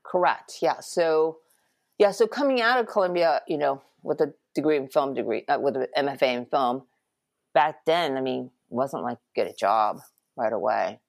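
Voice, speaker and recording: A middle-aged woman from Japan, an animation producer, recounting her career in a relaxed interview with frequent ums and restarts. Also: a bass voice, moderate pace, vocal fry, dry recording, studio quality. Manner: reflective, many ums and restarts.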